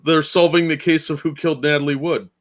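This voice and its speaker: A man joking around on a live online show, with a slightly high voice. He talks casually and keeps tossing out absurd ideas. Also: fairly even intonation, fast, a crowd in the background.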